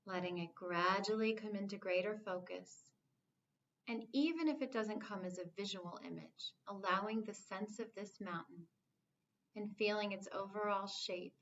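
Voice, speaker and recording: A woman leading a guided meditation as an instructor, speaking in a calm, soothing, measured way with long pauses. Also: medium pitch, muffled recording, a little hiss or hum.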